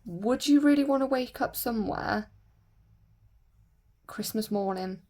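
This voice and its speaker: A woman with a croaky voice from a sore throat, chatting casually and rambling with lots of fillers while doing her nails. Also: on the high side, measured pace, a little mellow. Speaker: nail vlogger.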